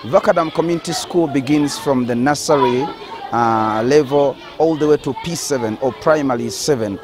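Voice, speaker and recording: A man's voice: mid-range voice, normal speed, crowd noise. A man hosting on location at a school, presenting to viewers and putting points to his guide. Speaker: video host and reporter.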